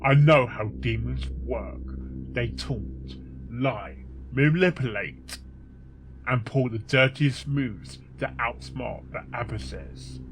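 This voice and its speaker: Man acting a slow-spoken, vengeful pony detective. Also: mid-range voice, slight background noise, natural voice. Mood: grim defiance. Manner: dramatic, confrontational. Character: vengeful, bitter male pony detective, defiant hero. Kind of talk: fan audio drama acting.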